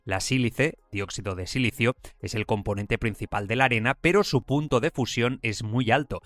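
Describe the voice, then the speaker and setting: A man with a Spain accent hosting a podcast, reading educational narration in a clear expository way. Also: mid-range voice, normal speed, fairly bright tone, dry recording. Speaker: podcast host.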